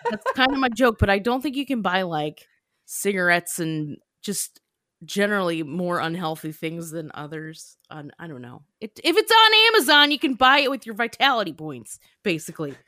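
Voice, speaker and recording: A woman's voice: fairly low pitch, very animated, normal speed, pushed and bright, dry recording, clean studio sound. A young woman hosting a podcast in casual chat, chatty, laughing and trailing off into asides. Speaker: podcast host.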